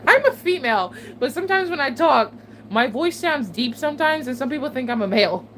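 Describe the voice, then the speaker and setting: A woman's voice: high-pitched, a little fast. A woman vlogger chatting casually to her viewers, swearing often and laughing between remarks. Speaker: channel host. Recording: steady background noise.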